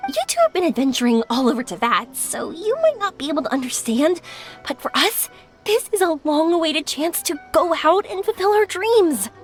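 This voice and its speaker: Bubbly young game girl, really high lively voice, chatty and exuberant. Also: normal speed. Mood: bubbly, excited. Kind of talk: English video game voice acting. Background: faint game music.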